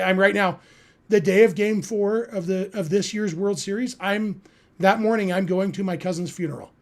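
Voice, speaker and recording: An American man from California hosting a sports podcast, chatting casually and earnestly as he answers listeners. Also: high-pitched. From California, United States.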